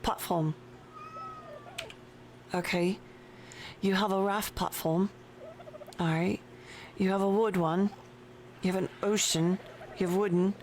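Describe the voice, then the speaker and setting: A woman live-streams a game from her desk at home, talking slowly and easily. She chats with viewers and her pet between moves. A faint background hiss sits under her voice. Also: medium pitch, steady tone, a little mellow. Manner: slow, chatty, talks to viewers and her pet. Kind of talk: casual live-stream chat while playing. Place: home desk with a cat nearby.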